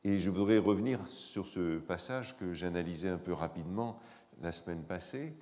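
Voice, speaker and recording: A man with a cold lecturing in a hall, his voice hoarse, his speech halting with frequent pauses and fillers. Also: low-pitched, darkish tone. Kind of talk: academic lecture.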